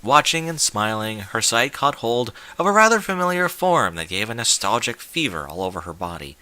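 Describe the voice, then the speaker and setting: Bright, theatrical male story narrator. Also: medium pitch, very animated, normal speed, dry recording. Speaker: fan fiction narrator. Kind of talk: dramatic scripted story narration.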